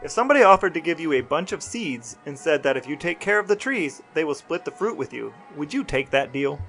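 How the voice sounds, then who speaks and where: Guy on a chatty podcast, highish voice w lots of fry, deadpan, little music behind. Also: fairly quick. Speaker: podcast co-host. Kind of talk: casual podcast talk with read-out questions.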